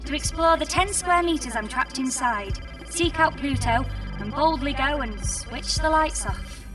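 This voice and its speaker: A sardonic female space engineer with a very high, swooping voice and unhurried delivery. She sounds weary and exasperated, stuck in her pod in a comedy radio play, with some noise around her. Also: animated, music in the background. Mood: wry, mock-heroic. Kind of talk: audio comedy drama acting.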